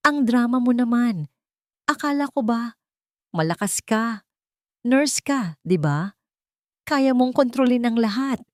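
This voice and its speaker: A woman's voice: a little high, expressive, takes it slowly, pauses often, dry recording, studio quality, pitched above the performer's own voice. Woman acting a dismissive, irritated husband. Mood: angry, scornful. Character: dismissive, irritated husband, proud family provider.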